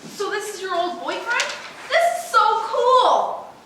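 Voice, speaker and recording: A woman playing a bubbly, gushing friend of the family in a stage comedy rehearsal, excited and chirpy. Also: very high voice, measured pace, heavily acted. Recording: very echoey room, distant microphone, faint noise.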